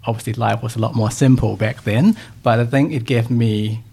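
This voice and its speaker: Gravelly, husky man from Thailand. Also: mid-range voice, steady tone, moderate pace, mellow. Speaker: podcast guest. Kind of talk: casual interview conversation.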